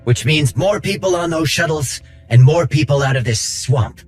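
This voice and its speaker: A man playing a kind, idealistic young rebel with an expressive, friendly, slightly hesitant manner in a video game cutscene. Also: a little high, moderate pace, slightly rough, soft background music. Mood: encouraging.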